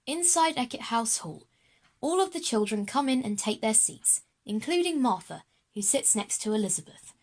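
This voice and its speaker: A woman's voice: on the high side, lively intonation, moderate pace. A woman reading scripted present-tense narration for a children's audio drama.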